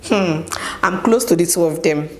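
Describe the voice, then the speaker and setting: A woman's voice: on the low side, moderate pace, a little mellow. A young Nigerian woman with a Nigerian accent, chatting casually as she answers viewer questions in a home vlog and often adds "okay?". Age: young adult. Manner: chatty, emphatic, keeps adding "okay?". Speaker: vlogger. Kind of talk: get-to-know-me Q&A vlog.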